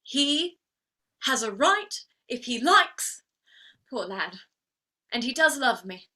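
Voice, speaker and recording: A woman's voice: sits high, very animated, takes it slowly, sharp tone, heavily acted. A woman playing a proud, wounded young flower girl turned lady in a theatrical play reading, defiant and plaintive. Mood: earnest, defensive. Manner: defiant, plaintive, emotionally outspoken.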